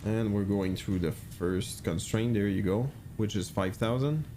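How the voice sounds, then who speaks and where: A man's voice: fairly low pitch, unhurried, dark tone, a little hiss or hum. A man streaming a flight simulator, chatting casually, thinking aloud and answering chat with many fillers.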